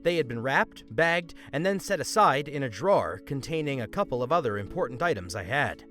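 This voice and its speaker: A man hosting a story channel, reading online stories aloud and adding his own comments in an animated way. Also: a little fast. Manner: animated, swings pitch for emphasis. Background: faint background music.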